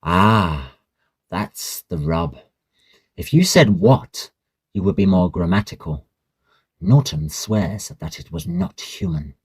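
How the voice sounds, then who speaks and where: Audio drama: male actor as breezy undergraduate, low pitch, unhurried, swooping storyteller's delivery, slangy and chummy. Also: breathy edge, exaggerated voice. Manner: jaunty, storytelling, old-fashioned slang. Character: chatty, breezy Victorian Oxford student, gossipy young man. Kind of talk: Victorian audio drama dialogue.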